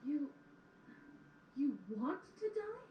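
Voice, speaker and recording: A woman's voice: sits high, big pitch swings, slow, lo-fi sound, heavily acted. A woman playing a lovesick, clinging young pony student in an audio drama, pleading with melodramatic intensity. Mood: dismayed. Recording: echoey, distant playback with background noise.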